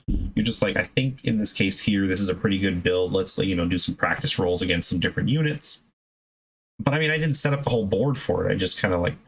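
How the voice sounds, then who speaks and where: A man's voice: on the low side, fairly bright tone, low-quality recording. A man giving casual, unscripted hobby advice as a video host, in a conversational, emphatic way that rambles into asides.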